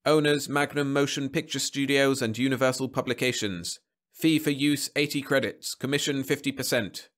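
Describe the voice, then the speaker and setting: A man's voice: mid-range voice, fairly even intonation, moderate pace, a bit bright, pitched above the performer's own voice, close to an everyday voice. A man voicing a mechanical monitoring device that drones out official notices in a science fiction story. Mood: flat, official. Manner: mechanical, clipped recitation. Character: droning machine voice of an official violation monitor.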